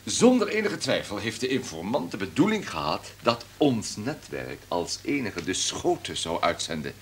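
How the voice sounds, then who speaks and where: An actor playing a domineering, calculating radio station boss in a Dutch radio drama, with a pompous, commanding manner. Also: male voice, lowish voice, huge pitch swings, moderate pace, slight background noise. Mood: forceful, calculating. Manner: pompous, commanding, throat-clearing.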